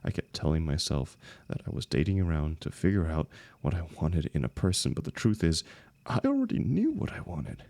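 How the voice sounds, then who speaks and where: A man acting a lovelorn young heartbreaker in an intimate, emotional audio roleplay, speaking haltingly and rawly to a longtime friend. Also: deep voice, very animated, dark tone, no room sound. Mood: regretful. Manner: halting, stammering, swearing, emotionally raw.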